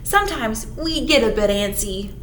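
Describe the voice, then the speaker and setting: A woman voicing a troop of rowdy little ants in a picture-book read-aloud, exclaiming excitably. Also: fairly high pitch, normal speed, roomy sound, a little hiss or hum, pitched below the performer's own voice. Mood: polite, eager.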